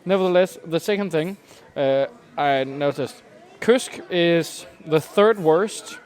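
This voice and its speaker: A man's voice: a little high, takes it slowly, darkish tone. A young man chatting casually as a podcast co-host, with a wry, mock-formal way of phrasing. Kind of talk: casual chat.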